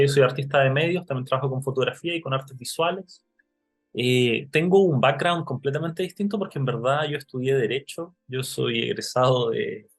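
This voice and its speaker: A young Chilean man speaks at a medium pitch into a computer mic on a video call, pausing often with fillers and restarting his sentences. Soft music plays faintly behind him as he presents his work to an online audience. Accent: Chilean Spanish. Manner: hesitant, many fillers and restarts. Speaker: artist giving an online talk.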